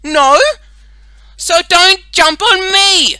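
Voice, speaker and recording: Woman as furious schoolgirl, very high pitch, loud, sharp, emphatic scolding, children's audiobook. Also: very slow, dry recording, clean studio sound, full character voice. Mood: outraged. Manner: shouted, emphatic rhetorical questions. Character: indignant young schoolgirl, shrieking and scolding. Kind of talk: children's audiobook character acting.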